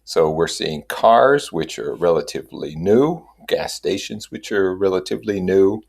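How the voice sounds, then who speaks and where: Male reader, very low pitch, husky, novel narration with comments. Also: measured pace, a little mellow. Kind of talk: reading a novel aloud with comments.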